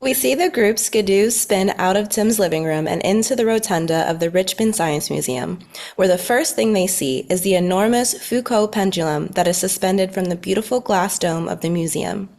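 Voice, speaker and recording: A woman's voice: mid-range voice, normal speed, a little mellow. A woman narrating scripted stage directions for a story read-through.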